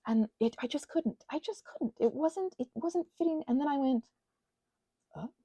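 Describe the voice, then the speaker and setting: A woman chatting casually in a rambling, digressive way to her audience, animated and poking fun at herself. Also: high-pitched, normal speed, dry recording. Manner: animated, self-mocking, digressive. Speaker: hobbyist creator talking to her audience. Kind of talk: casual rambling chat, ASMR channel.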